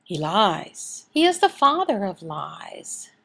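A woman reading a sermon and scripture aloud as a voice interpreter, in measured, halting short phrases. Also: mid-range voice, sing-song, gravelly, a bit bright, slightly rough.

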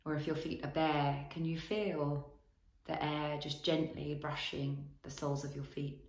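A woman leading a guided body scan as a relaxation teacher, giving gentle instructions in short phrases with long pauses. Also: low-pitched, little pitch movement, echoey room, poor audio.

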